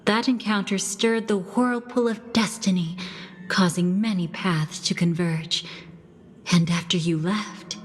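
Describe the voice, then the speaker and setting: A woman voicing a poised, knowing female character who narrates a video game story in a measured storytelling manner. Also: lowish voice, steady tone, natural voice. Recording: long reverb, music behind the voice. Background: game music.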